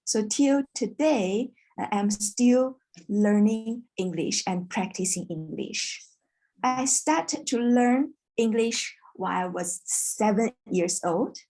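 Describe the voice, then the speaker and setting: Slow, patient woman teaching English online. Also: a little high, animated, dark tone. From China. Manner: slow, patient, clear teacher talk with sung rhymes. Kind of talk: online children's English class. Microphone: video call.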